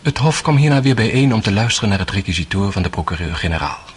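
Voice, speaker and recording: Man acting a low-voiced, reflective storyteller. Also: lively intonation, heavy background noise, lightly acted. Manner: measured, storytelling. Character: reflective male narrator recounting a trial. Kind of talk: scripted radio drama narration.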